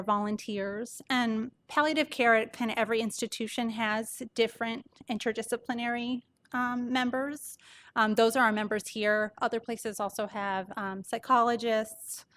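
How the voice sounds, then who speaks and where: A soft-voiced woman doctor gives an informal medical presentation to an audience, explaining things conversationally. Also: a little high, steady tone, unhurried. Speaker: palliative care doctor presenting.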